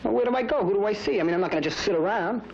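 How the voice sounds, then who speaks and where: Fast-talking defensive teenage boy. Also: high voice, darkish tone, muffled recording. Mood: defiant, evasive. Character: defensive sixteen-year-old boy caught stealing a car. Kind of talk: mid-century training film acting. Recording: noisy old film soundtrack.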